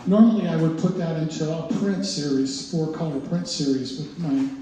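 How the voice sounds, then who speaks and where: An older man speaks about his work with a mellow, relaxed voice, pausing often and restarting his sentences. He sounds distant from the microphone, his voice ringing through a very echoey indoor gallery. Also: a little high. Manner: halting, many uhs and restarts. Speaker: exhibiting artist. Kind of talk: informal gallery talk. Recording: very echoey room, voice far from mic, faint noise.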